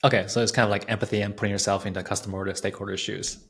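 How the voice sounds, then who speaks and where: A man's voice: fairly low pitch, level tone, rapid-fire, fairly bright tone, slightly rough. A man hosting an interview in a casual, informal way, thinking aloud and repeating words as he goes. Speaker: podcast host, interviewer.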